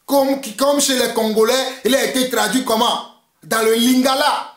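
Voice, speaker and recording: Man, fiery preacher, very high voice, repeats himself, echoey room. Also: steady tone, moderate pace, fairly bright tone. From Togo. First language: Mina. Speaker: pastor. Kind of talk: preaching sermon.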